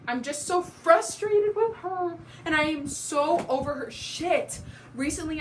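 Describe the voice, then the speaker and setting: A woman's voice: fairly high pitch, pitched above the performer's own voice, lightly acted. A young woman playing a bitter, wounded, neglected daughter in an emphatic, aggrieved stage soliloquy. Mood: bitter, frustrated. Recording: clearly noisy amateur recording.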